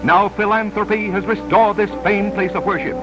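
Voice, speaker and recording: High-pitched dramatic 1930s newsreel man. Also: fairly even intonation, normal speed, rough recording. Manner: dramatic, solemn declamation. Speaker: newsreel narrator. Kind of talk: 1930s newsreel narration, scripted. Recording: vintage 1930s newsreel soundtrack. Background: newsreel music.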